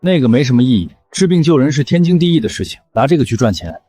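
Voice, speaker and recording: Guy acting earnest young village man, kinda flustered, very animated delivery, soft music behind. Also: mid-range voice, quick pace, no echo, close to an everyday voice. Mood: humble, earnest. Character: earnest, kind-hearted young village man, humble folk healer. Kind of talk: scripted drama acting.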